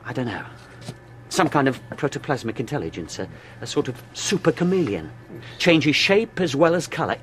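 An actor playing a feverish research scientist half-crazed with dread, halting and intense, in a radio drama. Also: male voice, medium pitch, sing-song, fairly bright tone, a little hiss or hum. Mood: hushed fascination.

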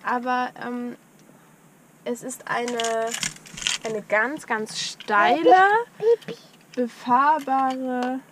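A woman's voice: a little high, fairly even intonation, drawn-out words, pauses often. A young woman vlogging casually from inside a van on a road trip, rambling with many fillers. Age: young adult. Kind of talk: casual travel vlog narration. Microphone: action camera on the dashboard. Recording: dull lo-fi camera audio with road noise.

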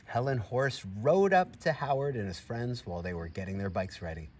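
A middle-aged man with a mid-pitched, very lively voice reads a picture book to children like an encouraging teacher. He often steps out of the story to chat with his listeners. It is recorded outdoors, with some background ambience. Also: moderate pace, a little hiss or hum. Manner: expressive, encouraging, addresses children and animal directly. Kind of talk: animated storybook reading with friendly asides to young listeners. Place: outdoors in a grassy farmyard by a barn.

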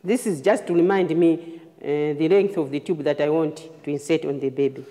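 A woman nurse giving a hands-on clinical demonstration, explaining phrase by phrase in a halting way. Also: low voice, steady tone, dark tone. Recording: reverberant room, long echo.

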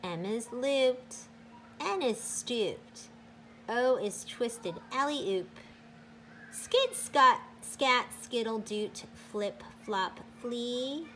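A woman teacher reading a picture book aloud to children in a deliberate, sing-song, emphatic way. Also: fairly high pitch, expressive, sharp tone, slight background noise.

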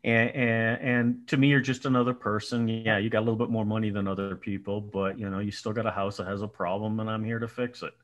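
A man's voice: fairly low pitch, little pitch movement, fast talker, few pauses. A man in his sixties from Colorado with a nasal voice, a guest telling self-mocking stories on a video call from his home. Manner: self-mocking storyteller, laughs often. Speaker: podcast guest, business owner. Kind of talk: casual video call chat. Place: home room indoors. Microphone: headset, video call.

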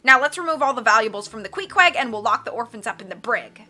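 Woman putting on a loud, sneering villainous count. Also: on the high side, lively intonation, quick pace, soft background music, exaggerated voice. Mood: mocking. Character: scheming, bossy villainous man, a mocking count.

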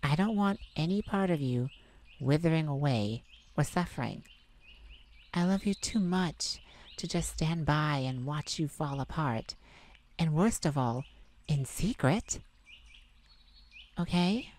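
A woman voicing a sweet, caring boyfriend in an intimate ASMR roleplay, tender, gently teasing and coaxing. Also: sits low, animated, slow pace, pauses often. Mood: earnest, caring. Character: sweet, caring young boyfriend comforting his partner.

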